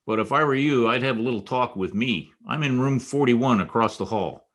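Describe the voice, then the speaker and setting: A man's voice: mid-range voice, expressive, moderate pace, lightly acted. An American man playing a tough, wry private detective in a 1940s radio noir style, clipped and slangy. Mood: pressing, wry. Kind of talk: 1940s radio noir acting with first-person narration.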